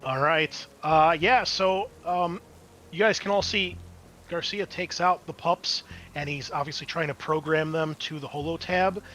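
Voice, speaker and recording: A man acting as game master, narrating a tabletop roleplaying game in a casual, explanatory, conversational way. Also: on the high side. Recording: clear background noise.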